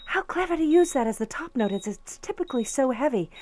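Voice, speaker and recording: A woman's voice: sits high, expressive, fast talker, a little mellow. A woman playing a fawning, smugly catty favorite daughter in an audio suspense drama, gushing and melodramatic. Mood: awed, gushing.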